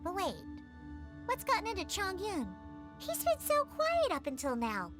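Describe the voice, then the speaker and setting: A woman voicing a tiny, chatty, curious fairy girl companion in a video game, excitable and inquisitive. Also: very high-pitched, a little slow, music in the background, exaggerated voice. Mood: concerned.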